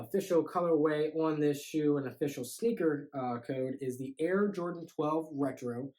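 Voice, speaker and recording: A young man in his twenties with a stuffy, congested voice, talking casually to the camera through a sneaker unboxing, with lots of fillers and "you know"s. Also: mid-range voice. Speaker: sneaker YouTuber. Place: indoor room with shoe boxes.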